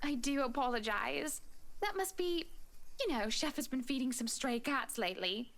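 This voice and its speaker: An actress playing a doting, over-attentive wife in a visual novel game, speaking in a sweetly formal, coaxing way. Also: female voice, sits high, lively intonation, normal speed, fairly bright tone.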